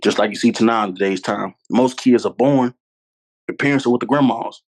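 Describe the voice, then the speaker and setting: A man's voice: mid-range voice, brisk, a little mellow, rough recording. A man, a podcast guest talking candidly and earnestly, repeating his points for emphasis. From Louisiana, US. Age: 20s. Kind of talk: candid conversational storytelling.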